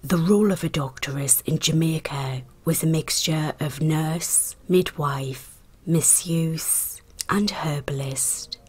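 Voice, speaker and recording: A woman narrates a history script in a low voice. It is very breathy and croaky, often close to a whisper. She reads slowly and in a measured way, as for a documentary. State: croaky voice after a hospital stay.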